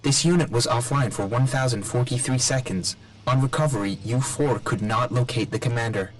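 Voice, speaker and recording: An actor playing an emotionless military robot drone in a video game, giving literal, clipped status reports. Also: male voice, mid-range voice, normal speed, slight background noise, close to an everyday voice, low-quality recording. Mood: impassive, reporting.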